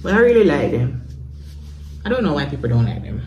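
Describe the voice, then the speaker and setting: A woman's voice: deep voice, brisk, highs cut off, background noise. Jamaican woman chatting to her phone. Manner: chatty, relaxed, slips into patois. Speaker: vlogger. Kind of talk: casual vlog chat to camera. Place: small home bathroom and bedroom, indoors. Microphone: phone camera at arm's length.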